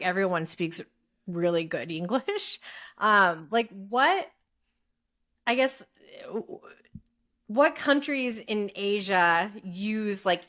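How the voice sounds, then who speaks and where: A woman's voice: lowish voice, a bit bright. A woman co-hosting a podcast who asks long, rambling questions in a self-deprecating way. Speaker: podcast co-host. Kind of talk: casual interview chat.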